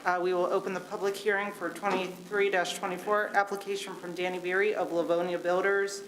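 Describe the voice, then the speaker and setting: A woman's voice: fairly low pitch, slight background noise, rough recording. Woman chairing a formal meeting. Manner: brisk, reads formal wording. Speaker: commission chair. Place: city meeting chamber.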